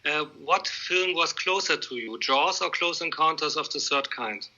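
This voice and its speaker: German-accented guy interviewing, really bright sharp voice, bit high, hesitant phrasing, dullish lo-fi sound. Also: animated, normal speed. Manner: hesitant, piecemeal questions in non-native English. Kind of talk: conversational film interview. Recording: dull, lo-fi interview audio.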